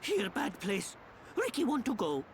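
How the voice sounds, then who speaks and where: A man voicing a small, childlike, excitable furry creature hero in a video game, speaking in broken third-person exclamations. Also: high voice, measured pace, slight background noise, heavily acted. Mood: uneasy.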